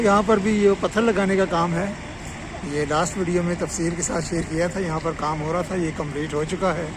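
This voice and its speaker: A man with a Pakistani accent giving a matter-of-fact, explanatory on-site road update as a vlogger outdoors. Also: high-pitched. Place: outdoors on a roadside by construction works. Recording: loud outdoor traffic and vehicle noise. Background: traffic, vehicles, outdoor ambience.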